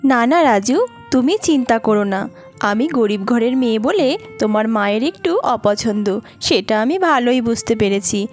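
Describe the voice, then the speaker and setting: A woman's voice: fairly high pitch, lively intonation, moderate pace, soft background music, natural voice. A woman voicing a patient young village daughter-in-law and seamstress in a cartoon, polite and earnest. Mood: reassuring, dutiful. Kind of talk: cartoon voice acting.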